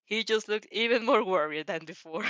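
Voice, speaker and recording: A woman's voice: medium pitch, normal speed, a bit bright, no echo, lo-fi sound. A woman reading fan fiction as a storyteller with casual reactions, giggly and often stumbling over and rereading phrases.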